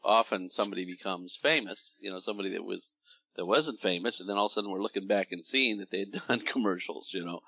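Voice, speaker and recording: Low-voiced male interviewer, dry sound. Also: fast, vocal fry, pushed and bright, slightly rough, no room sound. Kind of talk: casual interview questions.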